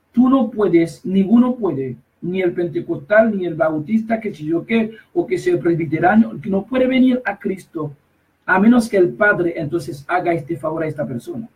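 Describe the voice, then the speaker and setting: A man with a fairly high voice preaches insistently, repeating himself and breaking off mid-phrase. He stands some distance from the microphone, so his voice sounds set back in the space. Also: a little mellow. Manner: emphatic, repetitive, halting with restarts. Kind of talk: preaching commentary.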